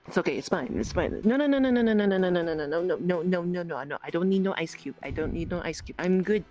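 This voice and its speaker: A woman with a fairly low voice chats in character during an improvised roleplay. She speaks haltingly and circles back over her words. Faint music plays behind her. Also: expressive, a little mellow, lo-fi sound. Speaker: roleplayer in a virtual-world game.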